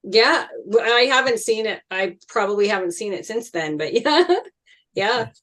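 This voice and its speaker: A woman's voice: medium pitch, lively intonation, normal speed, fairly bright tone. A woman in her fifties chatting casually and reminiscing in a group discussion. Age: 50s. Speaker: table read participant.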